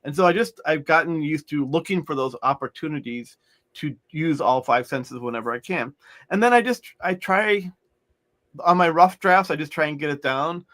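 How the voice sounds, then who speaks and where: Middle aged guy, kinda high voice, author chatting, lots of restarts and ums. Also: moderate pace, fairly bright tone. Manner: rambling, many restarts and fillers. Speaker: author guest. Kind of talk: conversational interview.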